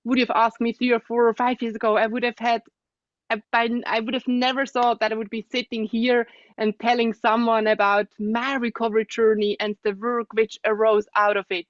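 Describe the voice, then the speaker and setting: Soft-spoken Swiss woman, muffled dry sound. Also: fairly high pitch, little pitch movement, fairly quick, dry recording. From Switzerland. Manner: thoughtful, soft-spoken, frequent gentle laughs and hums of assent. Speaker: guest, osteopath. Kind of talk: reflective interview conversation.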